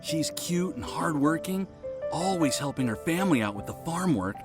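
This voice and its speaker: A man's voice: on the high side, lively intonation, moderate pace, over loud music, close to an everyday voice. A man voicing a shy, earnest young man in love in a video game, with a hesitant, stammering delivery. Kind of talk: video game character acting.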